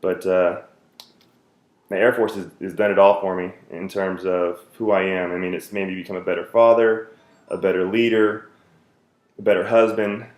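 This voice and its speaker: A man's voice: low voice, flat delivery, normal speed, darkish tone, reverberant. A man in his thirties, from the United States, giving an earnest self-introduction speech for a class, with many fillers and restarts.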